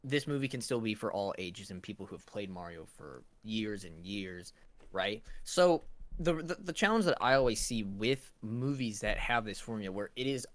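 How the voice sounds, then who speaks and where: A man's voice: on the low side, lively intonation, normal speed. A man co-hosting a casual review discussion, explaining his points and often checking in with the listener. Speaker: co-host asking questions.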